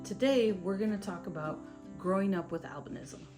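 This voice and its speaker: A woman's voice: medium pitch, moderate pace, loud music behind the voice. A young woman from India with an American accent, telling her own story to the camera in a casual, chatty way. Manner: conversational, with fillers and asides to the viewer. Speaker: vlogger.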